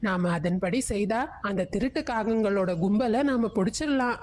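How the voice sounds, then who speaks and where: A caring, clever female bird from a cartoon, asking gently what is wrong, as a woman acts her out. Her tone is mellow and slightly high. Faint hiss sits behind it. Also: moderate pace, close to an everyday voice. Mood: concerned.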